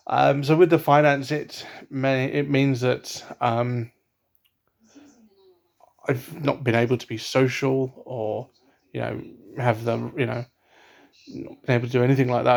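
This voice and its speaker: A man's voice: medium pitch, creaky. A young man from England talking at home in a casual vlog, hesitant, with many ums and restarts. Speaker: vlogger. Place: at home, indoors. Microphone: laptop webcam.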